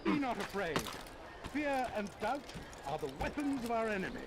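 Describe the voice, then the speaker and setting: A man's voice: very high voice, sing-song, moderate pace, very noisy, heavily acted. A man voicing a fervent crusader street preacher, declaiming zealously to rally the faithful in a video game. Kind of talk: public oration in a video game.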